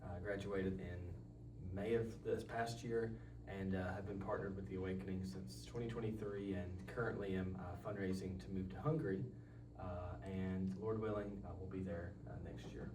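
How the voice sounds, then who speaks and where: A man's voice: deep voice, steady tone, normal speed. A twenty-two-year-old man from Alabama, introducing himself plainly with many fillers. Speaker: missionary panel guest. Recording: echoey room, mic far off, background hum.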